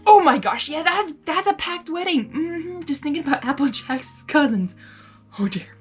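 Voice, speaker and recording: High-voiced woman, lively and giggly. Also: normal speed, faint music behind. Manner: giggly, excitable, digressive. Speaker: host of fan fiction reading videos. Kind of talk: blind reading aloud with rambling casual commentary.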